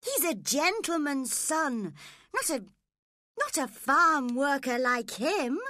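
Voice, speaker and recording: A woman voicing a haughty spoiled young girl, high and petulant. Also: big pitch swings, slow pace, sharp tone, no room sound, clean studio sound, full character voice. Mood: imperious. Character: spoiled, imperious teenage girl.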